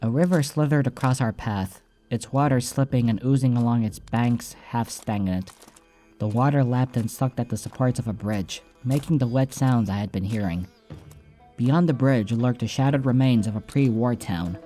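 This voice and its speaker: A man's voice: mid-range voice, normal speed, dark tone. A man reading a scripted first-person story as an audiobook narrator, with soundtrack music behind him.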